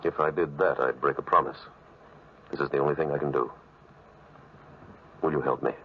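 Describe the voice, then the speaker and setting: An actor playing a towering, solemn priest in a vintage radio drama, with a very deep voice and a grave, firm manner. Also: male voice, fairly even intonation, moderate pace, a little hiss or hum. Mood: earnest, firm. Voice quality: very deep, bassoon-like. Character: towering, solemn, stern priest with a plain-spoken will. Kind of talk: 1940s radio drama acting. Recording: muffled old radio recording.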